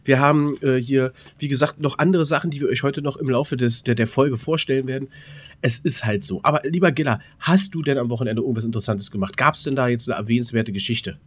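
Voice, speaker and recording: A man's voice: medium pitch, fast talker. A man co-hosting a podcast in casual, joking banter with informal, colloquial speech. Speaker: podcast co-host.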